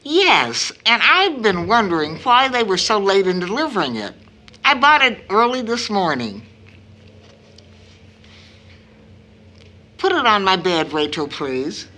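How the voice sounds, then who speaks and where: A woman's voice: medium pitch, very animated, normal speed, bright, forceful tone. An actress playing a worried, tender middle-aged mother in an audio drama. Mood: concerned.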